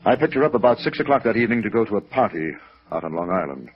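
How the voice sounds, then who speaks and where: An actor playing a genteel, evasive company president in a 1950s radio drama, formal and hesitant, on a vintage recording. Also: male voice, lowish voice, lively intonation, fast talker, breathy edge, highs cut off. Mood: wistful, hesitant. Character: genteel, evasive, wistful male brokerage president.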